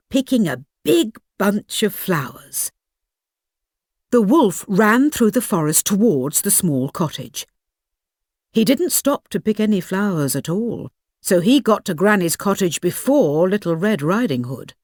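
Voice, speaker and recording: Woman reading a kids audiobook, super lively pitch, unhurried, dry close sound. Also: dry recording. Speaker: storyteller. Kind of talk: expressive children's audiobook narration.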